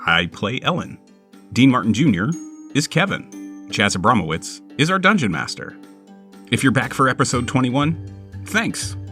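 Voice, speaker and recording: A man's voice: mid-range voice, pushed and bright. A man talking casually as the host and a player on a tabletop gaming show. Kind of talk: casual show intro and game talk.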